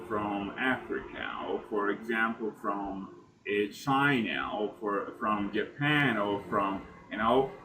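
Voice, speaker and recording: A man teaching English in a practice conversation, speaking slowly and with a non-native accent, filling pauses with "you know" as he rambles. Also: medium pitch, background noise. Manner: slow, rambling speech with many "you know" fillers.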